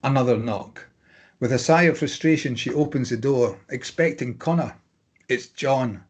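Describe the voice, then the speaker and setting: A man's voice: mid-range voice, moderate pace, breathy edge, highs cut off. A man reading stage directions as scripted narration at a drama table read.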